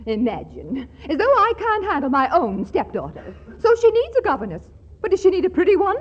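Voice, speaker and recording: An actress playing a fretful, jealous rich wife with a fluttery, affected manner in a 1940s radio comedy. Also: female voice, extremely high pitch, wildly swooping pitch, fairly quick, heavily acted. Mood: suspicious, fluttery. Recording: dull 1940s radio recording.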